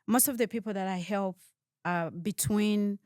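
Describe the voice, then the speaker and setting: A woman guest talks through her answers step by step, with frequent restarts. She is measured and explanatory. The sound is dry and close to the mic, with no room echo. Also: medium pitch, darkish tone. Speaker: guest advising on moving to Canada. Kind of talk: conversational interview answers.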